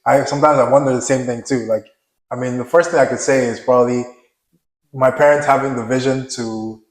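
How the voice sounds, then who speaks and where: A young man from Nigeria, a professional basketball player, talking casually as an interview guest, relaxed and soft-spoken, often restarting and trailing off. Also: medium pitch, a little fast, echoey room, low-quality recording.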